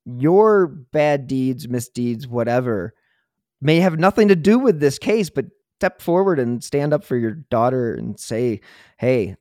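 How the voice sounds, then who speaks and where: A man's voice: mid-range voice, lively intonation, measured pace, warm tone, dry recording, studio quality. A man hosting a podcast, talking in a conversational interviewing style. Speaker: podcast host. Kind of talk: conversational interview and show sign-off.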